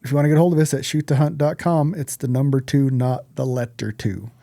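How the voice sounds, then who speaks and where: A man's voice: medium pitch, fairly even intonation, quick pace, mellow, no echo, studio quality. An American man co-hosting a podcast in casual chat, with a blunt, freely swearing manner. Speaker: podcast co-host.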